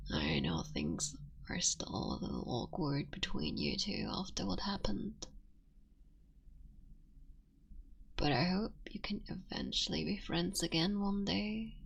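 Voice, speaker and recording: A woman playing an affectionate, playful and wistful fox witch in an intimate, softly whispered ASMR roleplay. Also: fairly low pitch, highs cut off, heavily acted. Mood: gentle, wistful. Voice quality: breathy. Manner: slow, intimate, soft-spoken with breathy whispers.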